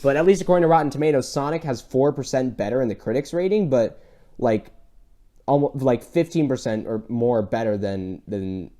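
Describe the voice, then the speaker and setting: A man's voice: medium pitch, brisk, warm tone. A man with a mid-range voice co-hosts a casual film talk. He is earnest and enthusiastic, and his delivery wanders in a loose, conversational way. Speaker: podcast co-host.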